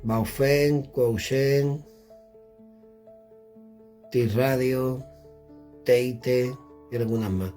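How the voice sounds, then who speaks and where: A man's voice: mid-range voice, steady tone, very slow, pauses often, dark tone, soft background music. A middle-aged man from chatting casually indoors as he demonstrates radio equipment, thinking aloud while he fiddles with the devices. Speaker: radio gear reviewer.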